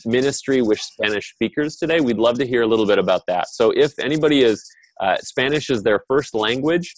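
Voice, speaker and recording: A man's voice: lowish voice, no room sound, rough recording. A man hosting an online panel session in an informal, conversational way. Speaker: host moderating an online panel. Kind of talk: casual webinar hosting. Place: online video call.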